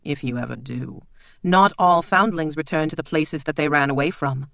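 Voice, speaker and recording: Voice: mid-range voice, moderate pace, creaky, fairly bright tone, no echo. A narrator doing a smug, sneering castle lord. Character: smug, sneering lordly man, host of a castle.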